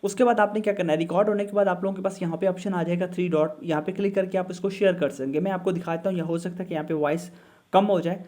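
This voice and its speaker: A man's voice: high-pitched, steady tone, quick pace, dark tone. A man with a Pakistani accent narrates a casual how-to tutorial as its host, giving step-by-step instructions with frequent check-in tags. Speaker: host of a tech tutorial channel.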